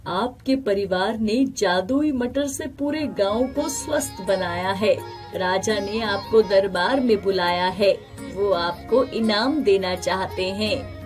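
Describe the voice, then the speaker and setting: A woman's voice: mid-range voice, slow, faint music behind, close to an everyday voice. A woman voicing a king's male court guard in a storybook tale, formal and declaring. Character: formal royal court guard announcing a reward, man.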